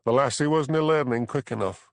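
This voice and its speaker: A man's voice: medium pitch, a little fast, vocal fry, dry recording, pitched above the performer's own voice, close to an everyday voice. A man voicing a small, evasive, touchy Scottish villager with curt dialect speech in an audiobook. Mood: defensive, snappish.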